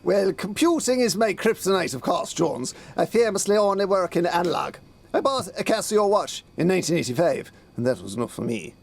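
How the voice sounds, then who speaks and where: A man's voice: high-pitched, lively intonation, brisk, creaky, a little hiss or hum. A man playing a pompous, bewildered middle-aged police detective inspector who is hopeless with technology, in a theatrical comic audio drama style. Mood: stubborn, bemused.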